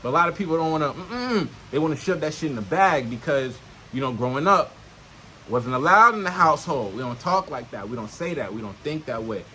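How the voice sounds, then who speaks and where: A man with a slightly high voice speaks off the cuff in a casual self-help vlog. He uses streetwise slang, swears often and keeps checking that the listener follows. There is faint outdoor noise around him. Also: animated, fairly quick, sharp tone, low-quality recording. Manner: punctuates points with checking questions to the listener. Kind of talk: casual unscripted monologue, teaching to camera. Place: outdoors in nature.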